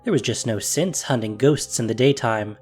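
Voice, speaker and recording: A man narrating a fantasy audio drama with expressive storytelling. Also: mid-range voice, normal speed, breathy edge, faint music behind.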